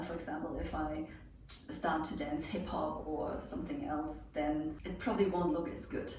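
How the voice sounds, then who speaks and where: A chatty young woman in her late twenties answers viewer questions in an upbeat, joking way. Her voice sits slightly low, and she is picked up from a distance in a strongly reverberant room. Faint hiss runs underneath. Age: 20s. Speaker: pole dance instructor. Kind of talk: casual Q&A vlog.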